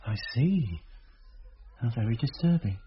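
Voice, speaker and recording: An actor playing a measured, sceptical man asking probing questions in a radio drama, with a husky voice. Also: medium pitch, lively intonation, muffled recording, a little hiss or hum, natural voice. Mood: measured, grave.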